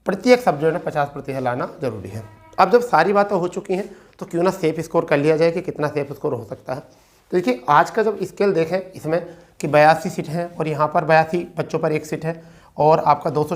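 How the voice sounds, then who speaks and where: Higher-pitched male teacher. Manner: repetitive, checks in with listeners often. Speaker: exam-prep teacher and presenter. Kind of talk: informal explainer lesson addressed to students.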